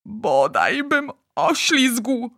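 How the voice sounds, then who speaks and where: A woman voicing an excitable, bossy male giant in a storybook, exclaiming theatrically in garbled made-up words. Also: mid-range voice, takes it slowly, slightly rough, no echo, studio quality. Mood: anguished, angry. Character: excitable male giant dream-catcher, bossy, dramatic, word-mangling.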